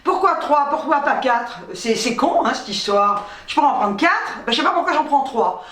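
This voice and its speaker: A woman's voice: high voice, animated, normal speed, a bit bright. A middle-aged woman from North Africa chatting casually as a vlogger, rambling playfully with some salty language. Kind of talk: casual chatty grocery haul. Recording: roomy, distant microphone.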